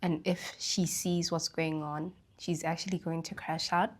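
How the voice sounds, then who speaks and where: A young woman from Botswana hosting a casual solo podcast, her throat slightly raspy from the flu, rambling in a relaxed way about life and spirituality. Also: sits low, unhurried. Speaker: podcast host.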